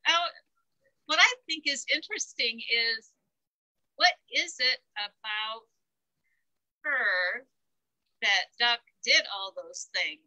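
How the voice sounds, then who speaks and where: A woman's voice: on the high side, lively intonation, takes it slowly, pauses often, sharp tone, muffled recording. A woman talking through a children's book, thinking aloud and searching for words as she reflects. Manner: thoughtful, searching for words, restarts. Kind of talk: casual chat about a picture book.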